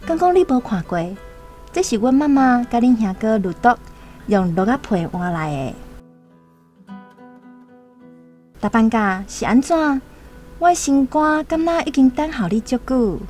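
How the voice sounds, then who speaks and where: A high, youthful female voice with a Taiwanese accent plays a lovestruck island maiden acted by a woman. She tells her feelings tenderly and playfully in radio-drama style, over music. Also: animated, moderate pace, a little mellow, natural voice. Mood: teasing, tender.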